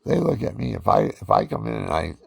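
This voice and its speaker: An older man with a deep voice, talking in a rambling, folksy personal monologue full of fillers. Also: huge pitch swings, fast, creaky, slightly rough. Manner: folksy, meandering, with many fillers.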